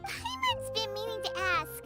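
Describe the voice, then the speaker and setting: A tiny video game fairy sidekick, squeaky high and childlike. The delivery is chatty, curious and very lively, over prominent music. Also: female voice, unhurried, heavily acted. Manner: refers to self in third person, exclaiming. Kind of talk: animated video game voice acting.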